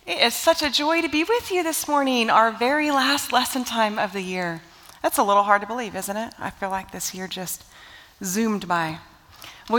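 Bright-voiced woman teaching a church study. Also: mid-range voice, in a large hall. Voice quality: slightly raspy from allergies. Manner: warm, conversational, with rhetorical questions to the group. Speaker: women's Bible study teacher. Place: church hall.

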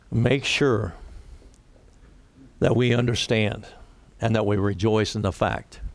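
A man preaching a sermon and leading a prayer, his voice raspy today, speaking deliberately and rising for emphasis. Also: medium pitch, no room sound. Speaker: pastor.

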